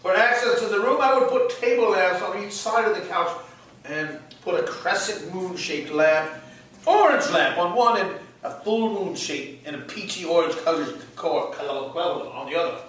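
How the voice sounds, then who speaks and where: A man's voice: high-pitched, lively intonation, normal speed, fairly bright tone. A man reading the part of a pompous, opinionated interior decorator in a play, in an echoey room. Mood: fussy, assured. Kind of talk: script read aloud at a play reading. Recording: echoey room, far from mic, faint noise.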